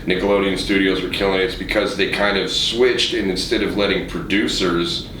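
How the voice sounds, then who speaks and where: A man with a low voice hosts a casual podcast. He talks informally and with enthusiasm, cursing freely. The sound is roomy and a little distant from the mic, with faint noise. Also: fairly even intonation, a little fast. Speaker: podcast host. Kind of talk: casual chat. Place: echoey studio room.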